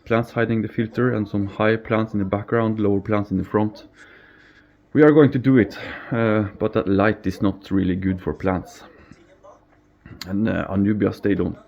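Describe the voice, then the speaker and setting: A man's voice: fairly low pitch, steady tone, moderate pace, creaky. A man from Norway, not a native English speaker, casually rambling through a tour of his apartment, stumbling over words and chuckling at himself. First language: Norwegian. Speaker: aquarium hobbyist vlogger. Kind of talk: casual home tour vlog. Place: apartment, indoors. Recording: slightly dull home recording with faint noise.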